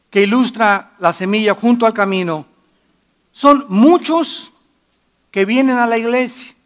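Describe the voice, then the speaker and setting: A middle-aged pastor preaching with emphatic force, his voice sitting unusually high for a man. He moves between loud declarations and hushed asides as he addresses a church congregation. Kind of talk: sermon.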